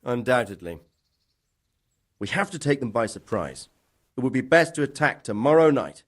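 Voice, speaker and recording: A man acting a composed, commanding medieval lord in a video game, measured and formal. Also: medium pitch, sing-song. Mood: decisive.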